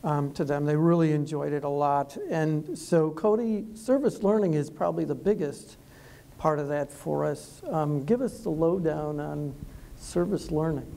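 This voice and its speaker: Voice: medium pitch, moderate pace, gravelly, dark tone, a little hiss or hum. A panel moderator on a college auditorium stage, briefly sharing figures and handing questions to panelists.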